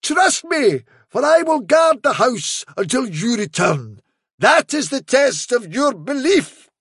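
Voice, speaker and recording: A man voicing a wily trickster who poses as a grand fairy dog messenger in a storybook, full of drawn-out, theatrical flattery. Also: extremely high pitch, slightly rough, dry recording, clean studio sound, pitched above the performer's own voice, full character voice. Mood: regal, flattering. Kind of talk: dramatic storybook reading.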